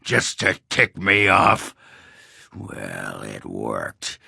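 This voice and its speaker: A male actor playing a gruff, crude, hard-drinking dwarf warrior in a video game, cranky and boastful, with a growling, sardonic, blustering delivery. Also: a bass voice, huge pitch swings, drawn-out words, pushed and bright, no room sound, clean studio sound, exaggerated voice. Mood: uneasy, grumbling. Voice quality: gravelly, growling rasp. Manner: blustering, earthy swearing, sardonic. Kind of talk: video game character acting.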